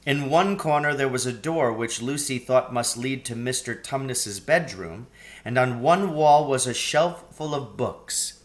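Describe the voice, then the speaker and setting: A man's voice: mid-range voice, measured pace. A man reading a children's story aloud as scripted narration. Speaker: storybook reader.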